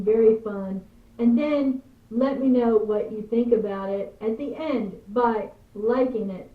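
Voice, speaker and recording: A woman hosting a travel channel, giving an upbeat introduction to a video. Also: fairly high pitch. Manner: upbeat, enthusiastic recommending. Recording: phone-like, distant and echoey.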